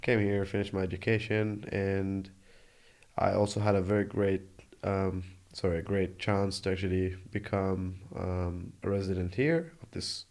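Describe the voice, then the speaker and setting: Young guy from jordan, kinda low voice, talks earnest and thoughtful, restarts a lot. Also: steady tone, normal speed, darkish tone. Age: 20s. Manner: earnest, reflective, with frequent restarts. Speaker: interview guest. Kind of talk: casual conversational interview. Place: Australia.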